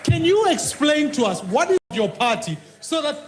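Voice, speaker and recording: A man presses the president in a parliament debate, pointed and insistent, his voice pitched high for a man. The chamber rings with echo around him. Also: sing-song, normal speed. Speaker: opposition politician questioning the president. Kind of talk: parliamentary questioning.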